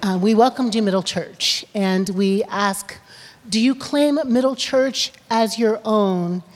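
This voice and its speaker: A woman's voice: medium pitch, slow pace. A woman asking new members their vows in a friendly, conversational way. Her voice sits in a middle register and rings in a large hall. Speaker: worship leader. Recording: long hall reverb.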